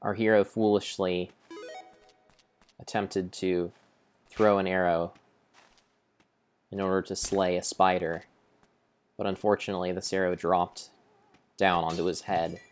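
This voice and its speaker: A young man improvising a mock-grand, deliberate and halting dramatic narration of a video game. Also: low voice, level tone. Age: young adult. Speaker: game streamer. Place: indoor room at home.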